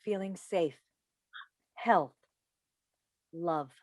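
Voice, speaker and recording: A woman's voice: lowish voice, very slow, no room sound, studio quality. An actress playing an exasperated middle-aged talk show host and mother in a staged play reading over a video call. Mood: earnest, rueful.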